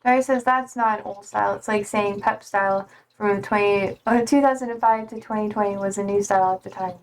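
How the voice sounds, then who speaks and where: A woman's voice: mid-range voice, steady tone, brisk, low-quality recording. A young woman streaming live, chatting casually and reading out viewer comments in a slangy, rambling way full of "like".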